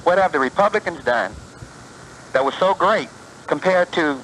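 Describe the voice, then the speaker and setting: A man's voice: a little high, expressive, moderate pace, a bit bright, poor audio. A man calling into a radio show and challenging the host with plainspoken questions. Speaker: caller. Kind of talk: call-in phone conversation. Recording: caller audio on a 1990s radio broadcast with faint hiss.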